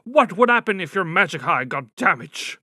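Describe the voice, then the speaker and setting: A man's voice: high voice, sing-song, moderate pace, bright, forceful tone, no echo, studio quality, heavily acted. A man playing an inquisitive, casual asker in a cartoon comic dub. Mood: musing.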